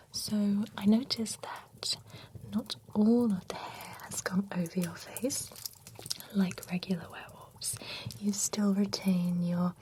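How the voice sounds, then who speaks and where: A woman in an ASMR roleplay, whispering softly as a nosy, genteel female vampire running a spa, chatty and giggly, close to the microphone. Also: mid-range voice, slight background noise, close to an everyday voice, low-quality recording. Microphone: close sensitive ASMR microphone.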